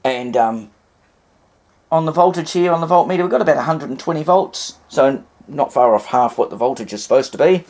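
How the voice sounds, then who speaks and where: A man talks through a hands-on demonstration in a casual way. He rambles and often hesitates. His voice sits at a medium pitch. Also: lively intonation, brisk, a little mellow. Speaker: vintage radio hobbyist presenter.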